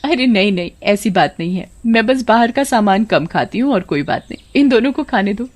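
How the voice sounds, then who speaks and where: A woman's voice: mid-range voice, lively intonation, normal speed, natural voice. A woman voicing an upright, sensible village woman in an earnest, plain-spoken way. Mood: concerned.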